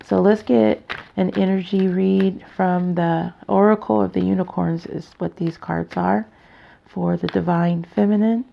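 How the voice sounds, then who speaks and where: A tired woman with a raspy voice, hosting a casual card reading in a halting, chatty, informal way. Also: lowish voice, fairly even intonation. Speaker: tarot reader, channel host. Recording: dull, muffled lo-fi sound.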